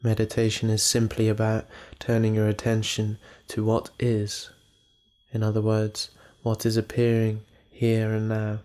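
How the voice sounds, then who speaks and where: Evenly pitched man guiding meditation. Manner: calm, measured, with unhurried pauses. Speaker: meditation guide. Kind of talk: guided meditation narration.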